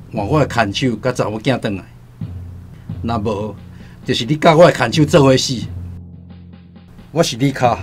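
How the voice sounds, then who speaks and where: A man's voice: medium pitch, close to an everyday voice. An actor with a Taiwanese accent playing a cold, uncompromising male village chief in a radio drama.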